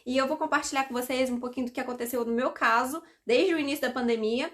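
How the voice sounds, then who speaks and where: A woman's voice: high-pitched, fairly even intonation, fast. A young woman from Brazil chatting casually to her viewers, explaining with frequent asides. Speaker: vlogger. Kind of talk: casual talk to camera.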